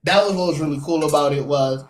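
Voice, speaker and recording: A man's voice: fairly high pitch, quick pace. A man hosting a podcast, casually recounting a convention panel, rambling and quoting other people as he goes. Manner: rambling storyteller who quotes others, many fillers. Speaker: podcast host. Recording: echoey room with faint noise.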